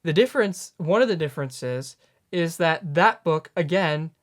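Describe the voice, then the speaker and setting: A man in his late teens hosting a news commentary, earnest and halting as he corrects himself. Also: a little high, animated, fairly bright tone. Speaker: news host.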